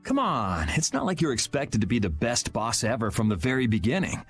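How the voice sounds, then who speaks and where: Cool, expressive young swordsman. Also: mid-range voice, fairly quick. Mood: teasing, encouraging. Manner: casual, teasing, matter-of-fact. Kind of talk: animated RPG video game dub. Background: faint background music.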